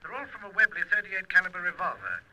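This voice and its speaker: An actor playing a fussy, confident police ballistics expert in an old radio drama. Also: male voice, sits high, sing-song, fast talker, bright, forceful tone, dull audio, heavily acted. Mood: fussy, assured.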